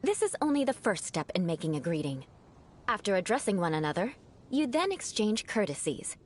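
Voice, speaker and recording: A woman's voice: mid-range voice, very animated, a little fast, slight background noise, close to an everyday voice. A woman playing a proud, aloof young aristocrat who lectures formally and precisely in a video game. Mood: lecturing. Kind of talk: video game character dialogue.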